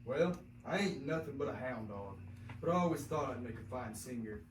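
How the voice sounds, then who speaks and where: A man's voice: mid-range voice, lively intonation, moderate pace, lightly acted. A teenage boy playing a weary, wry old hunting dog who grumbles, in a reader's theater reading. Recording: echoey noisy classroom, mic at a distance.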